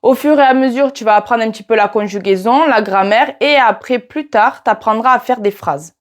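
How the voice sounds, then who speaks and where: Young woman, southern French accent, casual vlogger, slightly high voice, talks straight to the viewer. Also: moderate pace. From France. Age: 20s. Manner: chatty, addresses viewer directly with "tu vois". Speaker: YouTuber teaching Portuguese. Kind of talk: casual vlog talking to camera.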